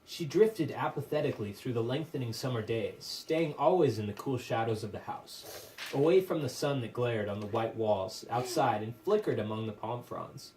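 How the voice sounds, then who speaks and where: A man narrating a puppet show as dramatic storytelling, in a measured, theatrical, literary manner. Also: mid-range voice, lively intonation, darkish tone. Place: live puppet show performance, room. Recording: distant microphone with faint room noise.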